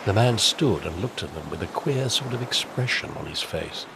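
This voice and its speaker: A man's voice: on the low side, animated, moderate pace, background noise. A man narrating a scripted audio drama as a dramatic storyteller. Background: music.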